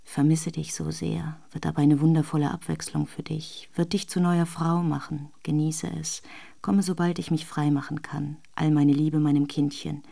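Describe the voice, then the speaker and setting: An actress playing a restless young wife in an introspective, confiding radio drama monologue. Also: female voice, low-pitched, little pitch movement, moderate pace, creaky, warm tone, exaggerated voice. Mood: reflective. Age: young adult. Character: restless young Russian wife recalling her marriage.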